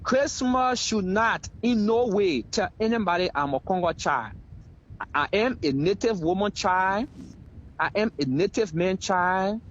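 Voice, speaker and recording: A man's voice: high voice, a little slow, a bit bright, highs cut off, slight background noise. A man with a Liberian accent giving heated political commentary as a talk show guest, emphatic and repeating phrases for effect.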